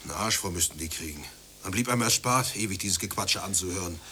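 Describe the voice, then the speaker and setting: A man's voice: sits low, lively intonation, fast talker, pushed and bright. An actor playing a poor, prudish father hungry for respect, grumbling and ranting in a radio play. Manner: grumbling, ranting, swinging between brooding and heated outburst. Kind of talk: German radio play acting. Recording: noisy 1980s radio play recording.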